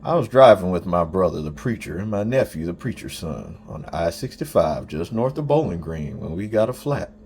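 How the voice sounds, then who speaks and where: A man's voice: low voice, big pitch swings, moderate pace, few pauses, a little mellow, slight background noise. A man reading a first-person story aloud as an audiobook narrator.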